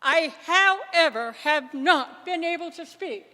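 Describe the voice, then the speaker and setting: A woman playing a dignified Quaker minister and veteran abolitionist, giving a formal, deliberate stage speech in a readers theater. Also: very high-pitched, expressive, sharp tone, heavily acted. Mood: earnest, gracious. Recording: reverberant hall.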